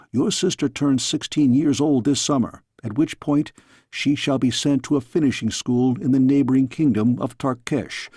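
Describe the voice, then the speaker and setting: Man playing a grave, gravelly fatherly king. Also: mid-range voice, a little mellow, no echo, clean studio sound. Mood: stern. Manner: measured, formal, solemn. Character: fatherly middle-aged storybook king, grave yet tender.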